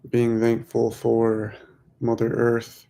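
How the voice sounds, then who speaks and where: A man leading a guided meditation in a soothing, unhurried manner, speaking in short phrases with long pauses. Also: mid-range voice, monotone, gravelly, mellow. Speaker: meditation guide, host of a weekly group.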